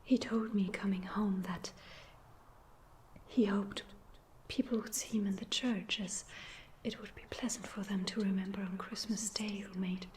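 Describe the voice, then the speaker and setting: Lady playing a soft wistful parent in a whispered asmr christmas story, super slow, slight noise. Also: mid-range voice, fairly even intonation, natural voice. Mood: tender, wistful. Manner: hushed, slow, tender whisper. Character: tender, wistful parent speaking of a frail child.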